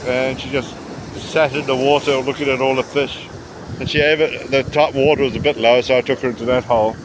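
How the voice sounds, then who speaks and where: Casting: male travel vlogger, mid-pitched, casual and rambling with mid-sentence pauses, recorded on a noisy, windy shore. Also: level tone. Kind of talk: casual walking travel commentary. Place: outdoors on a rocky seashore by tidal pools. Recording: windy outdoor recording with sea noise. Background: wind and waves.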